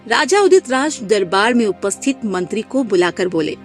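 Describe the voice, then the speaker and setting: Animated woman narrating a cartoon story. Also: medium pitch, normal speed, soft background music.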